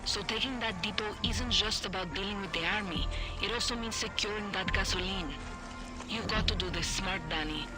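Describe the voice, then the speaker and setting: An actress playing a determined, urgent rebel leader in a video game. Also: female voice, medium pitch, moderate pace, natural voice, low-quality recording. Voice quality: urgent, commanding. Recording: loud game music behind.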